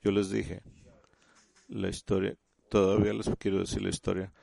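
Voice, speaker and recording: A man giving a sermon in halting speech, often restarting and repeating words as he teaches the congregation. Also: low voice, completely flat delivery, creaky, warm tone, husky, dry recording. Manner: addresses listeners as "usted". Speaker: church speaker.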